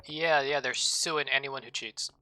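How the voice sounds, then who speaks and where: A man's voice: mid-range voice, measured pace, pushed and bright, no echo. A man in casual gaming chat, joking and rambling with playful, absurd asides. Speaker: streamer co-host.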